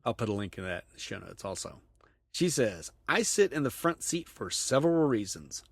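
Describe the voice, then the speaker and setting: A man's voice: lowish voice, big pitch swings, moderate pace, vocal fry, breathy edge, dry recording. Middle-aged man, podcast host, chatty, laughs a lot, dry close sound, reads emails. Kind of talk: casual podcast reading listener emails.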